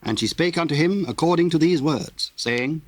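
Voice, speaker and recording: A man narrating scripture in a solemn, measured, formal way, reading from a script. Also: fairly high pitch, lively intonation, dry recording.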